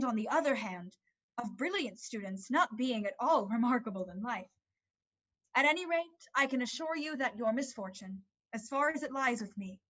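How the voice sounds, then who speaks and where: An earnest, motherly figure acted by a woman with a fairly high voice and formal, measured delivery. She sounds consoling and articulate, as in a dramatic reading of an old play. The audio is slightly dull and lo-fi. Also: sharp tone. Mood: earnest, composed.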